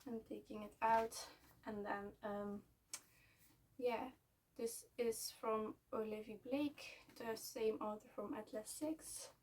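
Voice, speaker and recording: A young woman from Belgium, a native Dutch speaker, chatting casually at home about books she is selling, pausing often with fillers and restarts. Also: on the high side, fairly even intonation, a little mellow. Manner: halting, many fillers and restarts. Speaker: book vlogger. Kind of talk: casual book unhaul chat. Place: at home, seated. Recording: somewhat distant mic, faint room noise.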